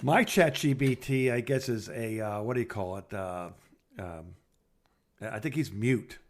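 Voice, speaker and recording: A man's voice: mid-range voice, measured pace. A man co-hosting a podcast in a casual phone chat, rambling along with dry jokes. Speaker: podcast co-host.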